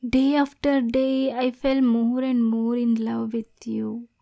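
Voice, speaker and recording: A lovesick demon girl, played by a woman, in an intimate ASMR roleplay. Her voice is high and soft, with a mellow tone and little melodic swing. She speaks slowly and breathily, lonely and nervous yet possessive. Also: flat delivery, gravelly.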